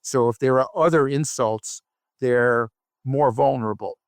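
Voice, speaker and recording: A man speaking as a physician researcher in an interview, explaining study findings in a measured, deliberate way with halting phrasing. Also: mid-range voice, dry recording.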